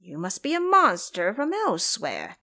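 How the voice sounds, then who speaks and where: A woman's voice: medium pitch, huge pitch swings, moderate pace, sharp tone, exaggerated voice. A woman voicing a proud, mocking male monkey king in an audio drama, commanding and teasing. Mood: haughty.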